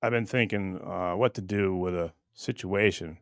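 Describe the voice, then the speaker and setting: A middle-aged man hosting a livestream with casual, rambling chat, blunt and crude in his joking, going between muttering and loud bursts. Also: sits low, moderate pace, creaky, no echo, clean studio sound. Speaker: livestream show host.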